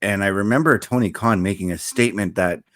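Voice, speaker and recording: A man co-hosting a wrestling podcast, reading out news briskly with fillers. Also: on the low side, fairly bright tone, no room sound. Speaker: podcast co-host. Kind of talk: casual podcast chat and news rundown.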